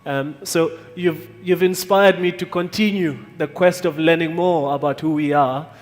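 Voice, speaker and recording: A man speaking on a panel, his voice pitched fairly high for a man. He sounds heartfelt and searching and circles back over his words. A long hall reverb trails behind him. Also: fairly even intonation, measured pace. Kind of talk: panel discussion answer.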